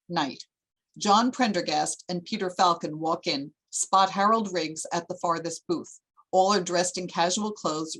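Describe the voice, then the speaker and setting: A woman's voice: fairly low pitch, fairly bright tone, poor audio. A woman reading screenplay stage directions as the narrator of a table read.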